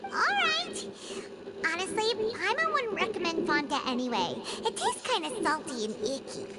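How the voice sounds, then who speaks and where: A tiny, squeaky game sidekick with an extremely high childlike voice. It is chirpy and excitable, swooping wildly in pitch, over loud background noise. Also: female voice, normal speed. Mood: chirpy, casual. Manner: refers to herself in third person, exclaiming. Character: tiny floating childlike companion, chirpy, excitable, easily spooked. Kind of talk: video game character acting.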